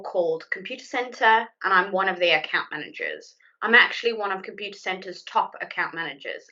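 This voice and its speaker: A woman's voice: mid-range voice, bright, forceful tone. A woman in her thirties with a South African accent, giving a friendly, self-deprecating presentation on a video call. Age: 30s. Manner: friendly, self-deprecating, uses "kind of" often. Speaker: account manager guest speaker. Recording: muffled video call audio.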